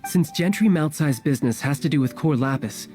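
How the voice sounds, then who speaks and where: A man plays a calm, scheming young nobleman in a video game. His voice is somewhat high, articulate and formal, with clear music underneath. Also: brisk, natural voice. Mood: resolved. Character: refined, scheming young gentleman, calm and courteous. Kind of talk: English video game voice acting.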